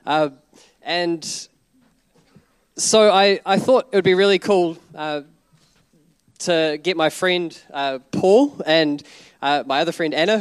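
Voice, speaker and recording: A man pastor hosting a casual interview in a church service, relaxed and jokey in manner. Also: on the high side, smooth, dry recording. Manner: relaxed and jokey, with many fillers and restarts.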